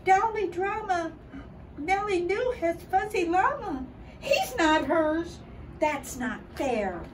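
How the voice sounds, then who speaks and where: Slow, animated older woman reading a storybook. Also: very high voice. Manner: animated, deliberate, dramatizing for young children. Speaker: early childhood storybook reader. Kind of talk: expressive read-aloud of a children's picture book. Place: outdoors by a building wall and door. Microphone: camera a short distance away. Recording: distant mic, clear background noise outdoors.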